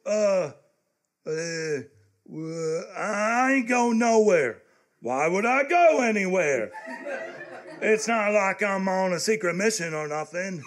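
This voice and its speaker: Radio comedy role: male actor as hesitant, evasive rural youth, high voice, slow halting delivery. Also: animated. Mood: nervous, evasive. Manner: halting, awkward, folksy. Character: shifty, hesitant, naive young country man. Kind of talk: live comedic radio theatre. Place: live stage before an audience.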